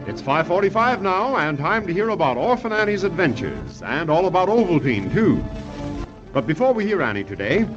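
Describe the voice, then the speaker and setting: An American man announcing a 1930s children's radio show, pitching emphatically to young listeners. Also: very animated, moderate pace, over music. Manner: addresses children, emphatic salesmanship. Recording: muffled, noisy 1930s radio recording.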